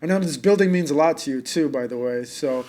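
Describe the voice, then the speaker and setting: A male podcast host with a fairly high voice leads the chat in a loose, easygoing way. He rambles and fills gaps with "you know" as he sets up his questions. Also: brisk, darkish tone. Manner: loose, rambling with many fillers. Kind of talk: casual interview chat.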